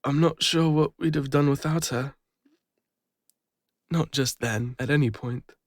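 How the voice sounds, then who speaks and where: A man with an English accent playing a guilt-ridden, lonely retired adventurer, speaking haltingly and emotionally in an intimate fantasy audio roleplay. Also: medium pitch, dry recording, clean studio sound, close to an everyday voice. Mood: fond, nostalgic.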